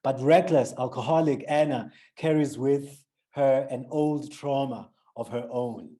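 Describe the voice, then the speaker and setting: A man's voice: mid-range voice, unhurried, warm tone. A man with a South African accent interviewing an author, first reading an introduction and then asking questions with many restarts. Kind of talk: book interview.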